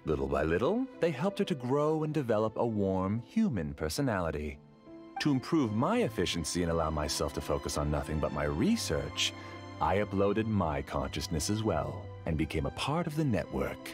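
An actor playing a formal, self-assured, obsessive scholar who rules a dream world in an anime game. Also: male voice, sits low, big pitch swings, normal speed, over music. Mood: earnest, confessional. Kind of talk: anime video game voice acting.

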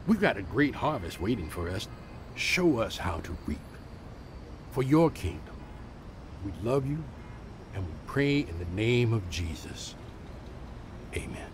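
An actor playing a gentle, earnest evangelist mentor in an audio drama, plainspoken and patient, with measured, fatherly delivery. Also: lowish voice, big pitch swings, background noise. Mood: prayerful.

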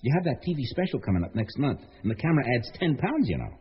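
A man's voice: medium pitch, very animated, fast talker, slightly rough. A man playing a brusque, nagging, self-absorbed show-business agent in a radio comedy-drama. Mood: distracted, irritable. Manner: brusque, nagging, matter-of-fact. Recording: dull lo-fi radio sound, faint noise.

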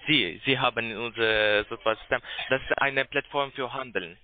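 A man working as a call center agent, giving a halting, repetitive sales pitch as a non-native German speaker. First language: not German. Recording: tinny narrow phone line.

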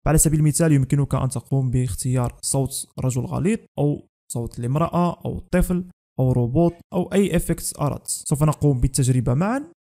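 A man's voice: medium pitch, fast talker, warm tone. A young man narrating a scripted tech tutorial as the host of a channel.

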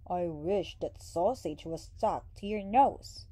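A woman's voice: medium pitch, lively intonation, measured pace, warm tone. A woman voicing a henpecked, plain-spoken male woodsman in a storybook. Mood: fed up. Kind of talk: storybook character voice.

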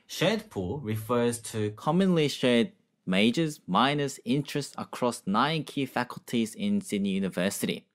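A man's voice: mid-range voice, expressive, a little slow. A man in his twenties, a university student speaking in a formal, rehearsed way into a studio mic. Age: 20s. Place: small home studio. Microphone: studio mic in front.

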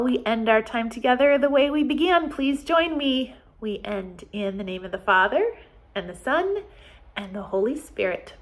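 An American woman in her forties leading a children's Bible storytime as pastor, speaking in simple, deliberate phrases meant for young children. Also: on the high side, creaky. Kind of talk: children's storytime, reading and explaining. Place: small office, indoors.

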